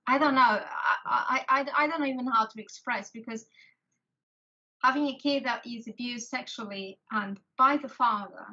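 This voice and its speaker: Bright halting woman with Portuguese accent. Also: fairly high pitch. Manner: halting, many restarts and fillers. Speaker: interviewer. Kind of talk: video call interview. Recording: lo-fi call audio.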